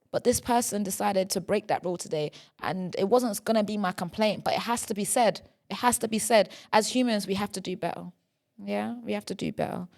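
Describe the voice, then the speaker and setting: Young female podcast host. Chatty solo rant that leans on tag questions. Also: mid-range voice. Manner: chatty, tag questions after nearly every line.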